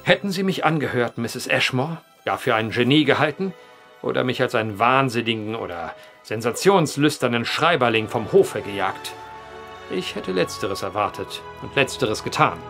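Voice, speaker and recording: Male, methodical eccentric gentleman of letters for radio play; formal, precise, determined, mid pitch, faint music underneath. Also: lively intonation, moderate pace. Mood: resolute. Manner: formal, precise, old-fashioned eloquent diction. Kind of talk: German audio drama acting.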